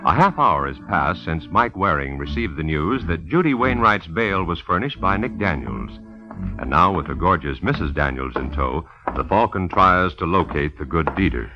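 A man's voice: low-pitched, big pitch swings, normal speed, music in the background. An American man announcing on vintage radio, with a polished, emphatic scripted sales and narration delivery. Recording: dull, dry vintage radio recording.

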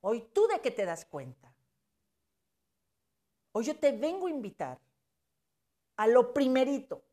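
A woman's voice: a little high, sing-song, unhurried, pauses often, dark tone. A Mexican woman in her fifties giving an emphatic, preachy motivational talk on social media, with casual swearing. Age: 50s.

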